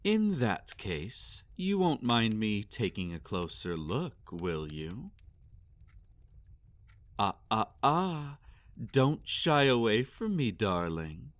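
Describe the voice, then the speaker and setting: A man playing a sadistic, possessive vampire master in a roleplay audio, speaking formally and condescendingly with silky menace and drawn-out commands. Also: fairly low pitch, big pitch swings, no echo. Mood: possessive, admiring. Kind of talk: roleplay audio acting, intimate and theatrical.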